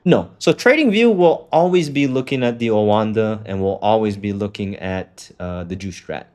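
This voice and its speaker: A man's voice: on the low side, animated, normal speed, darkish tone. A man coaching a student through a trading setup on a call, talking loosely with lots of fillers and the odd swear. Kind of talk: casual walkthrough on a call.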